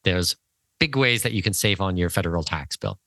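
A man's voice: low-pitched, animated, a little fast, bright, forceful tone, no room sound. A man hosting a conversational interview, talking in an affirming, enthusiastic manner. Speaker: podcast host. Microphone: close mic on an internet call.

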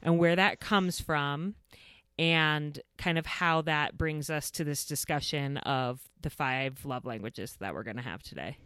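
A woman's voice: sits low, measured pace, a bit bright, smooth, dry recording, studio quality. A woman in her thirties hosting a podcast for moms, earnest and upbeat, reading a scripted intro and then interviewing. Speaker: podcast host.

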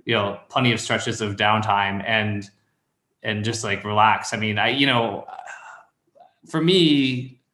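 Man, thirties, bright forceful voice, casual mentor, rambling advice, roomy echo. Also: lowish voice, unhurried. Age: 30s. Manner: rambling, thinks aloud. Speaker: call-in show host and copywriting mentor.

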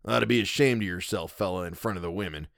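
A man doing a gruff, blustering old driver. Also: deep voice, quick pace, a bit bright, no echo, studio quality. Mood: scornful. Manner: gruff, curt, scornful.

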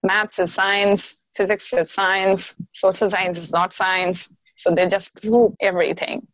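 A woman's voice: medium pitch, little pitch movement, a little slow, fairly bright tone, no room sound, poor audio. Earnest Indian woman with a dry voice. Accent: Indian English. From Bihar, India. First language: Maithili. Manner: earnest, talks with many "you know" fillers. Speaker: guest, diversity researcher. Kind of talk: casual podcast conversation.

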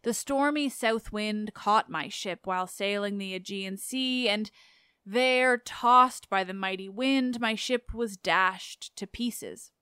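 Woman voicing a grave drowned husband's ghost, hushed, slow, smooth voice, dry sound. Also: mid-range voice, no room sound. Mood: mournful.

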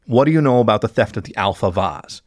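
A man's voice: on the low side, animated, quick pace, dry recording, studio quality. A man voicing a stern private detective in a story, questioning in a firm, controlled way. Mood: stern, probing.

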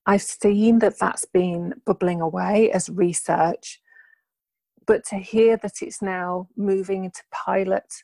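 A woman's voice: on the low side, creaky, no echo. A woman hosting a podcast, introducing and interviewing her guest with eager enthusiasm. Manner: enthusiastic, warm thanks. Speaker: podcast host.

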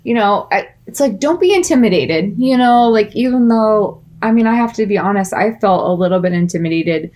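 A woman's voice: mid-range voice, lively intonation, brisk, few pauses, a little mellow. A woman in her late thirties co-hosting a podcast, chiming in with agreeable, supportive remarks in casual chat. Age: 30s. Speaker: podcast co-host.

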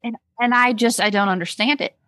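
A woman's voice: fairly high pitch, steady tone, fairly quick, fairly bright tone, dry recording, studio quality. A woman with a Texan accent co-hosting a podcast in casual chat, rambling with restarts and laughing often. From Texas, United States. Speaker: podcast co-host.

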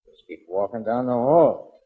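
Husky, wisecracking radio cop underling. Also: male voice, medium pitch, a little slow. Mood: spooked, deadpan. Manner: plain-spoken, deadpan wisecracks. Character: wisecracking, dim, easily spooked police underling, adult man. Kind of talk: 1940s radio drama acting. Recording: dull, lo-fi 1940s radio recording.

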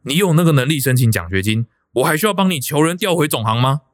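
A man's voice: on the high side, animated, fast talker, close to an everyday voice. An actor with a Taiwanese Mandarin accent playing a stern, disapproving father of a grown son in a radio drama.

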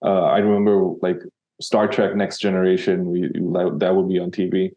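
A man's voice: low-pitched, little pitch movement, moderate pace, mellow, lo-fi sound. A man from Sri Lanka, a copywriter guest in an interview, talking casually and rambling with many fillers and restarts.

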